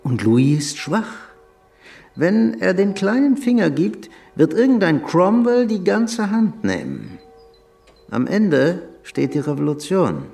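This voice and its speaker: An actor playing a haughty, cynical aristocratic prince in a radio drama, condescending and sardonic. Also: male voice, high-pitched, sing-song, takes it slowly, creaky, mellow, faint music behind, full character voice. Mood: scornful, cynical.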